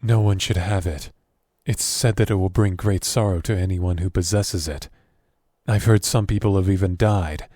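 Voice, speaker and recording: A man playing a wary, evasive captive governor in an audio drama, guarded and hesitant, with a husky voice. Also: deep voice, normal speed, warm tone, no echo, clean studio sound. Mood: grave, wary. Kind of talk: audio drama roleplay acting.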